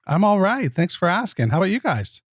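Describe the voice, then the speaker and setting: A man speaking as a podcast guest, explaining his project casually and in a thoughtful, measured way. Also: high voice, very animated, slightly rough, no room sound. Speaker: podcast guest, producer and narrator. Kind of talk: casual interview answers.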